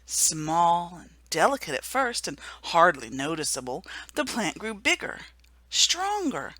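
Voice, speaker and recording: A woman reading a children's storybook aloud for a remembrance service, gentle and hushed at the sad moments. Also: mid-range voice, no room sound. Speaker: story reader.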